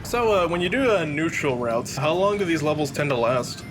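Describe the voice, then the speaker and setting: Higher-voiced man chatting over game music. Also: expressive, moderate pace. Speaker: let's play commentator. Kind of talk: casual game chat. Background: loud video game music.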